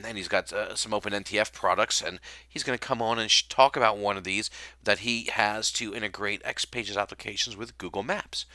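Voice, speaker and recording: Bright, informal man hosting a show. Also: medium pitch, dry recording. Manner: informal, joking. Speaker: show host. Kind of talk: casual talk over slides, introducing a guest.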